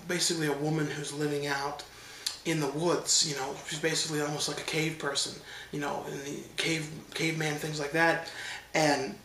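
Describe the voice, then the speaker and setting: A man's voice: on the high side, pushed and bright, reverberant, a little hiss or hum. A man with a stuffed-up nose from allergies giving a loose, rambling casual review, with many fillers and restarts. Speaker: horror film reviewer.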